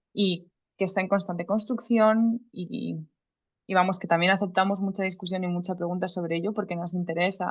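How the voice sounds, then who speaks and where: A woman presenting a research project at an online seminar. She reads from a prepared text in a measured, formal way, with the odd casual aside. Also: fairly low pitch, highs cut off. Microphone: video call audio.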